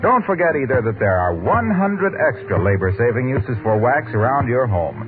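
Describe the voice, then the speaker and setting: A man's voice: mid-range voice, big pitch swings, normal speed. An American man announcing in a polished, salesmanlike 1940s radio style, reading scripted copy. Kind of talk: 1940s radio ad read and sign-off. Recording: tinny 1940s radio recording. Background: music.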